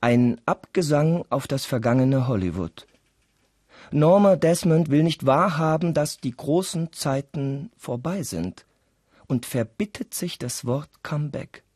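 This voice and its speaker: A man narrating a scripted radio play with deliberate, dramatic storytelling. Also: fairly high pitch, darkish tone, no room sound, clean studio sound.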